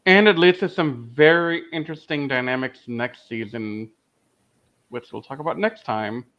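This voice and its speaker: A man's voice: medium pitch, normal speed. A man co-hosting a podcast, talking casually in a fan discussion. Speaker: podcast co-host. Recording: dull lo-fi audio.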